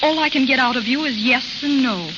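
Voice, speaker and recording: A genteel young society lady played by a woman, fairly high in voice and gently teasing. She is heard in a muffled, noisy vintage radio drama. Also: a little fast, close to an everyday voice. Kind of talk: 1940s radio romance acting.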